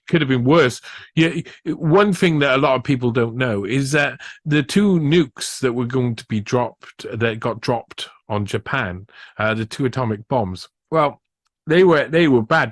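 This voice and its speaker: A man's voice: medium pitch, a bit bright, no echo, studio quality. A man hosting a podcast, giving sarcastic, rambling commentary on a news clip and laughing at his own jokes. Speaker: podcast host.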